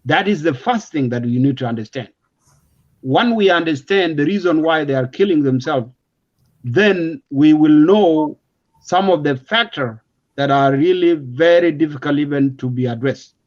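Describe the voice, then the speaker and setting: A man's voice: a little high, moderate pace. A man with a South Sudanese accent giving an unscripted, emphatic explanation in a political discussion, often repeating his words. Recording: dull, lo-fi audio.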